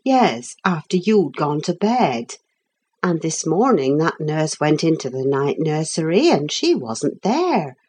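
Lady doing a gruff old cook, slow and heavy, husky grumbly voice. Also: low voice, very animated, a little mellow, heavily acted. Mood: grumbling. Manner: working-class, heavy and blunt. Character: grumbling older female household cook.

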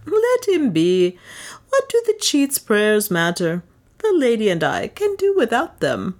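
A woman's voice: on the high side, big pitch swings, unhurried, pitched above the performer's own voice. A woman voicing a swaggering male adventurer in an audiobook, bold, careless and commanding. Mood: careless bravado. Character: swaggering male adventurer posing as a rich slave trader.

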